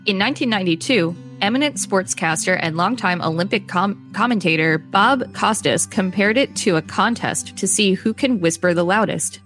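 A woman's voice: medium pitch, normal speed, hardly any pauses, a bit bright, faint music behind. A woman co-hosting a podcast, reading encyclopedia text aloud with casual asides. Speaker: podcast co-host.

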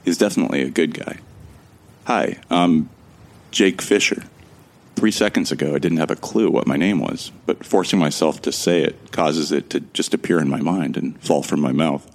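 An extremely deep-voiced amnesiac man narrates an audio drama in the first person. His voice crackles with gravelly fry. He is observant and friendly, with a wry, conversational delivery. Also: normal speed.

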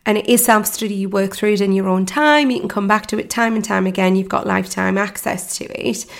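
A woman hosts her podcast with a croaky, hoarse voice from laryngitis. There's a gravelly roughness running under her mid-pitched talk. She chats casually, filling pauses with ums and restarting sentences. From United Kingdom. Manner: warm chatty, many ums and restarts. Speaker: podcast host and mindset coach. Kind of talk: casual solo podcast chat.

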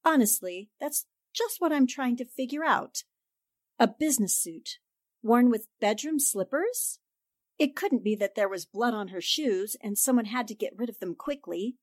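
A woman's voice: on the high side, animated, no room sound, studio quality. A woman playing a poised, inquisitive newspaper reporter who speaks politely and precisely, in 1940s radio drama style. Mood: confident. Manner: polite, precise, deliberate questioning.

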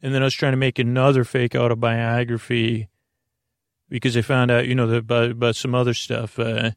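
A man hosting a bedtime podcast with a meandering, halting, goofy and friendly monologue in a gravelly voice. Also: mid-range voice, completely flat delivery, dry recording, clean studio sound. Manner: meandering, halting, trails off mid-word. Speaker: podcast host. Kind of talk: rambling, unscripted bedtime monologue.

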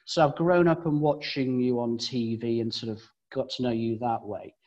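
A man's voice: medium pitch, steady tone, moderate pace, a little mellow, muffled recording. Older male podcast host. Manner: friendly, hesitant with many fillers. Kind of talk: podcast interview.

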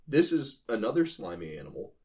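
A man's voice: fairly low pitch, sing-song, fairly quick, a little mellow. A man co-hosting a podcast, chatting casually and comically in a wry, matter-of-fact way. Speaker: podcast co-host. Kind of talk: casual comedic banter about monsters.

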